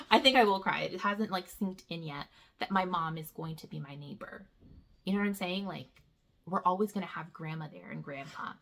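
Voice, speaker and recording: A chatty woman in her thirties talks casually to her viewers about homeschooling, calling them "guys" as she goes. Her voice sits on the low side and has a gravelly, fry-edged texture. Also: fairly bright tone. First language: not Portuguese. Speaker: homeschool vlogger. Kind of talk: casual chat to camera.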